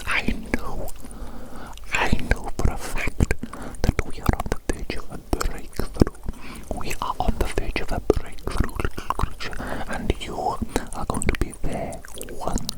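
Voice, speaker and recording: A woman's voice: a little slow, low-quality recording. A woman acts out an evil genius scientist entirely in whispers for an ASMR roleplay. The delivery is theatrical and grandiose, with words and phrases repeated obsessively, as if fixated on every experiment.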